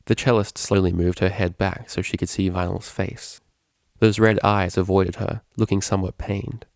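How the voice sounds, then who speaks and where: A man's voice: low-pitched, little pitch movement, normal speed, creaky, a little mellow, dry recording, studio quality. A man narrating a scripted story as a fan fiction reader.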